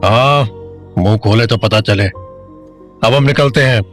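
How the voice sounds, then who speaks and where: A man's voice: fairly low pitch, slow, faint music behind. A man playing a weary, blunt, middle-aged small-town father in an audio drama. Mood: gruff.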